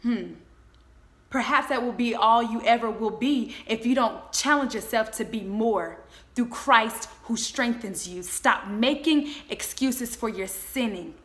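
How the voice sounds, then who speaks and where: A woman in her twenties giving a motivational faith talk, speaking in an emphatic, exhorting way with deliberate repetition. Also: a little high, fairly bright tone. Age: 20s.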